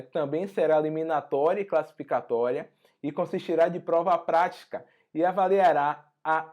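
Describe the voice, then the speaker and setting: Hoarse Brazilian man teaching. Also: a little high, darkish tone. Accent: Brazilian Portuguese. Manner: conversational, frequent tag questions. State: hoarse after a flu. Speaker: exam prep teacher. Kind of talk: online lesson walking through an exam notice.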